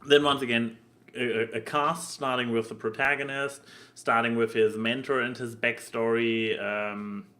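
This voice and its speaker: A man chatting casually as a podcast co-host, halting and stammering as he searches for words. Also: mid-range voice, steady tone, pushed and bright. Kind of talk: casual podcast chat about anime.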